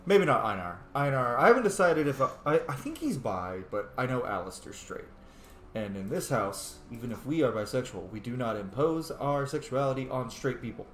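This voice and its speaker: A man's voice: medium pitch, animated, normal speed. A man giving casual, playful and opinionated commentary while roleplaying through a video game, swearing freely. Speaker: game streamer. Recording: roomy echo, clear background noise.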